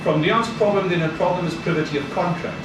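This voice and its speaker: A man in the audience works through a legal point step by step. His voice is a little high and sounds distant. Strong echo and steady noise fill the recording. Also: fast talker. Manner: methodical, argumentative. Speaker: audience member. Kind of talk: Q&A discussion. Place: seminar hall. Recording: echoey hall, distant mic, noise.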